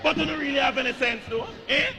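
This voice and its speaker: A man playing a blustering, bossy Jamaican police sergeant in a broad stage farce, repeating himself and shouting over a noisy live crowd. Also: very high-pitched, fast talker. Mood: exasperated, loud.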